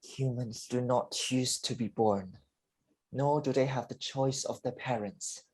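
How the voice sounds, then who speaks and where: A man performs a dying father in a play reading. His voice is husky and mid-pitched, and he takes his time over each phrase. He sounds weary and earnest, pleading to be understood. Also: a little mellow, low-quality recording. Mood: tender, remorseful. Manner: slow, deliberate, emotive delivery.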